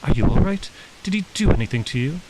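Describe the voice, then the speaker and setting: Man voicing a raised-pitch, scolding frontier mother. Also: expressive, fast talker, very noisy, natural voice. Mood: anxious. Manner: sharp-tongued folksy rural speech.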